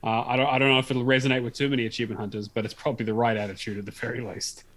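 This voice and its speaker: A man's voice: mid-range voice, flat delivery, very fast, fairly bright tone. A man hosting a casual gaming podcast, rambling with lots of restarts and the odd swear word. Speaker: podcast host.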